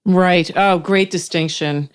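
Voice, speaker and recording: A middle-aged woman hosts an interview, asking thoughtful questions and feeling her way through them. Her voice is smooth and clear, set a little low. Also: steady tone, slow. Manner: thoughtful, searching for words while asking. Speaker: podcast host, interviewer.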